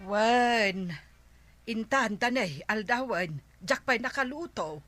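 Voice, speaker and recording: An actress with an Ilocano accent playing a practical, homely village aunt in a radio comedy drama. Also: female voice, on the high side, slow, a bit bright, dry recording, faint music behind. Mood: matter-of-fact.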